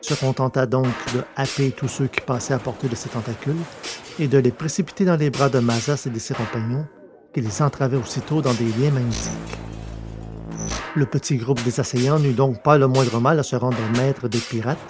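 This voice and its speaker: A man's voice: medium pitch, steady tone, a little fast, over music. A man reading a scripted science-fiction story as its narrator.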